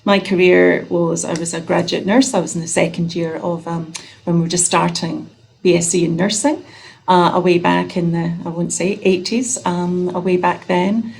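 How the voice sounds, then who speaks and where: A woman with a Scottish accent, in her fifties, talking in a chatty, self-deprecating way. Her voice sits low with a gravelly edge, heard through a video call. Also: flat delivery, moderate pace, a little mellow, slight background noise, rough recording. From Scotland. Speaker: guest nurse researcher.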